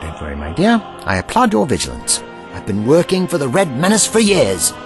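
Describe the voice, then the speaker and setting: Man playing a pompous, wildly expressive eccentric adventurer. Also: fairly high pitch, fairly quick, soft background music. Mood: wry defiance. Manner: pompous, theatrical, sardonic. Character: eccentric, indignant male time-travelling adventurer, theatrically defiant. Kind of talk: audio drama acting.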